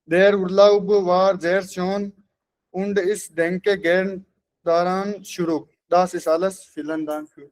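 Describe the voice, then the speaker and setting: A man's voice: high-pitched, flat delivery, takes it slowly. A man, a language student, carefully giving a prepared class presentation and stumbling on longer words.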